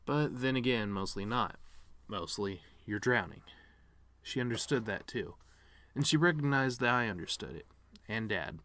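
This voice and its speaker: A man's voice: on the low side, expressive, moderate pace, bright, forceful tone, no room sound. A man performing a dramatic monologue as a bitter, regretful actor giving his mother's eulogy, with sardonic, rambling asides. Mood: wistful, sad.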